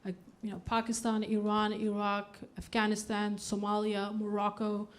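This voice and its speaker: A woman in her thirties from Denmark, performing a stand-up comedy set on a club stage, deadpan with punchy outbursts. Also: medium pitch, normal speed.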